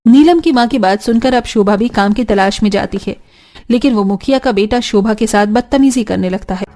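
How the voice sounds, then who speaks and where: A woman's voice: medium pitch, brisk, dark tone, faint music behind. A woman narrating a scripted cartoon story as its storyteller.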